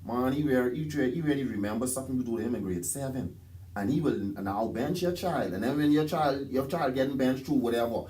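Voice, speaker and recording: A man's voice: medium pitch, brisk, mellow. A Bahamian man, a taxi driver, talking on a podcast in an emphatic, preachy rant, often acting out what others say. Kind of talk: casual podcast chat.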